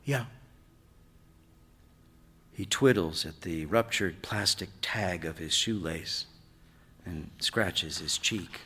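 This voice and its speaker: A man reading a literary short story aloud on stage, in a measured, expressive way that brings out the drama. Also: low-pitched, breathy edge. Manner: measured, expressive, acts out dialogue. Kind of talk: dramatic literary narration read to an audience. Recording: reverberant hall, live stage reading.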